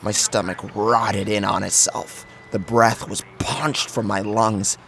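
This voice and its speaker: Casting: male horror narrator, deep register with vocal fry, intense and emotive first-person storytelling. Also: steady tone, takes it slowly, fairly bright tone, slight background noise. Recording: music behind the voice.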